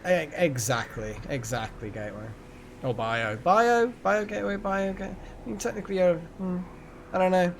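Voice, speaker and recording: Guy livestreaming a game, voice a bit high, rambly casual chat, slight background noise. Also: normal speed. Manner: rambling, thinks aloud. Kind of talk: casual live gaming chat.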